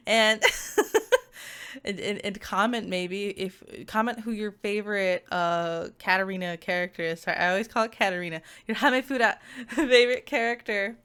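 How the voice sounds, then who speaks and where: A woman with a mid-pitched voice chats through a game review in a loose, unscripted way. She rambles, breaks off to correct herself and tends toward giggles, like a casual VTuber talking to viewers. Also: a bit bright. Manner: giggly, rambling, self-interrupting.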